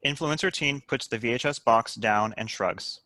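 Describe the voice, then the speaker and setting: Bright, crisp-sounding man reading stage directions. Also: medium pitch, normal speed, no room sound. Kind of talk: scripted narration at a table read.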